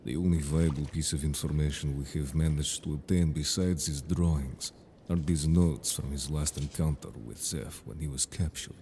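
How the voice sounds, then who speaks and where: A man voicing a stern, protective merfolk guard in an audio drama, speaking in a measured, formal, serious way. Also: very deep voice, mellow, a little hiss or hum. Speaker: voice actor in an audio roleplay. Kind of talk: scripted audio drama roleplay.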